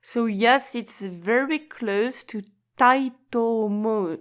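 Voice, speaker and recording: A woman teaching an online language lesson in a slow, patient, explanatory way, stretching key words and laughing lightly now and then. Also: on the high side.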